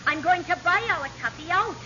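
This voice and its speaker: Bossy, very shrill-pitched Welsh auntie. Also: female voice, brisk. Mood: demanding. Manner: forthright, pushy. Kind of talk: vintage radio comedy acting. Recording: dull, noisy old radio recording.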